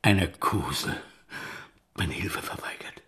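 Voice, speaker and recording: Radio-play banker, middle-aged man, low and gravelly, anxious hushed whispers. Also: animated, unhurried, full character voice. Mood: fearful.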